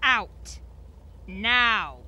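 A woman's voice: on the high side, expressive, drawn-out words, very noisy. A woman playing a ruthless, commanding gun-wielding villainess in an audio drama, curt and mockingly polite. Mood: menacing, curt. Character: ruthless, commanding villainess holding people at gunpoint.

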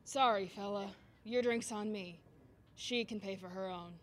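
A woman's voice: mid-range voice, a little slow, slight background noise, natural voice. A woman acting a brassy, flirty, wisecracking bartender in a radio drama. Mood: nosy, wry.

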